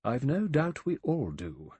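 A man's voice: fairly high pitch, sing-song, normal speed, darkish tone, breathy edge, no room sound, pitched above the performer's own voice, exaggerated voice. A man voicing a righteous elderly English spinster in an audiobook, precise, dry and sanctimonious in manner. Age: older.

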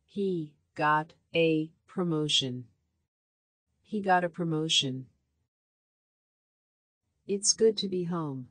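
A woman reads short practice phrases for a language course, her voice sitting a little low. She speaks very slowly, enunciating every word with care. The recording is dry and close, with no room sound. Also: dry recording. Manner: clear, careful enunciation, each phrase repeated.

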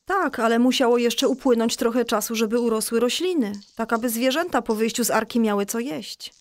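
A woman playing a patient mother who explains a Bible story to children in a children's audio drama, reassuring and clear. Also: fairly high pitch, brisk, dry recording, natural voice. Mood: patient, explaining.

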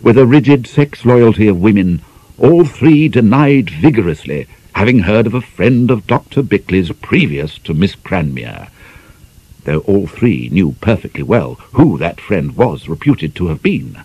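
A man's voice: lowish voice, sing-song, measured pace, dark tone, slightly rough, no echo. A man reading a novel as a scripted audiobook narration. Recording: slightly dull, band-limited audio.

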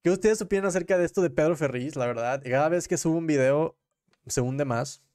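A young man with a Mexican accent and a fairly high-pitched voice. He talks like a livestream commentator, informal and full of slang. His sentences ramble and double back as he corrects himself, spoken close into a desk microphone in a small room at home. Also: fairly quick, a little mellow. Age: young adult. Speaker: commentary streamer and host. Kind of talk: casual livestream commentary on news and gossip. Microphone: close desk microphone on a boom arm.

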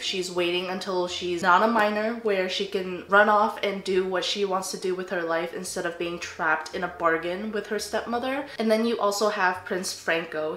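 A woman's voice: medium pitch, a bit bright, echoey room. Stuffy-nosed young woman reviewing books. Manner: chatty, emphatic, repeats "really". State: stuffy nose, nasal from allergies. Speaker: book vlogger. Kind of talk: casual book review chat. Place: living room with bookshelves, indoors.

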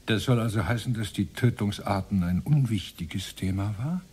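An actor playing a stern presiding judge in a radio play, husky and pressing as he questions a defendant. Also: on the low side, moderate pace, a little mellow. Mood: insistent. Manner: probing, pressing, corrective. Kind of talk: radio play courtroom dialogue.

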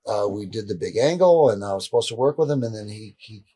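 A middle-aged man with a husky, somewhat low voice tells stories from a couch in a rambling, animated way. He restarts his sentences often as he talks to an interviewer indoors. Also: fast talker. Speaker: interview guest. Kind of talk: casual storytelling in a shoot interview. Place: indoor room, seated on a leather couch.

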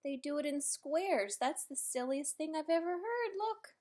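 A woman reads a picture book aloud for small children. Her voice sits fairly high and she takes her time, going very slowly through the words. She is playful and expressive, with simple chatty asides aimed at young listeners. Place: indoors in a room at home.

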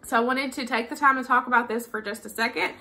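Chatty woman, higher voice. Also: fairly even intonation, fast talker, bright, forceful tone, soft background music. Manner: chatty, informal, folksy. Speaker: vlogger. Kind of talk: casual chat to camera, product review and haul. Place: living room at home.

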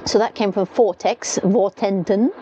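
A woman's voice: medium pitch, steady tone, a little slow, mellow, slight background noise. A woman in her forties chatting to camera inside a small caravan, rambling and correcting herself as she goes, with rain clattering on the roof. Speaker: caravanning vlogger. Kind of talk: casual talk to camera. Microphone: camera across the table. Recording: dull lo-fi sound with faint rain.

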